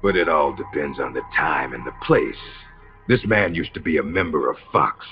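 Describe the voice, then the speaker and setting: An actor playing a smug, menacing soldier who interrogates with casual cruelty in a video game, his voice gravelly. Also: lively intonation, moderate pace. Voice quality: very deep, gravelly. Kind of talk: dramatic video game voice acting. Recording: dull compressed game audio with music.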